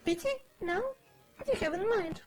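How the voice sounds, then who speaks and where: A man's voice: very high-pitched, expressive, fairly quick, voice pushed up. A man voicing an indignant, haughty noble Baroness in a theatrical period game fandub. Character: indignant high-pitched noble Baroness, a gambling loser. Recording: music behind the voice.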